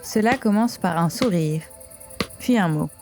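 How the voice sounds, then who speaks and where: A woman giving calm, scripted documentary narration as a voice-over. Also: lowish voice, measured pace, over music.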